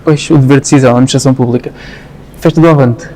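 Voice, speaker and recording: A man's voice: medium pitch, steady tone, rapid-fire, mellow. A man giving short prompts as an interviewer. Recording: distant, reverberant hall, faint noise.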